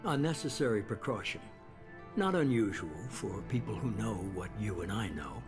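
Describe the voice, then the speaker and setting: An actor playing a calculating, self-assured leader of a secretive organization, speaking in a measured, persuasive way in a video game. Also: male voice, lowish voice, expressive, close to an everyday voice. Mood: cool, controlled. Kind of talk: video game cutscene acting. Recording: music behind the voice.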